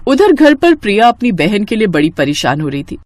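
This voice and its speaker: A woman's voice: mid-range voice, sing-song, brisk, a little mellow, dry recording. A woman reading scripted narration as the storyteller of a moral tale.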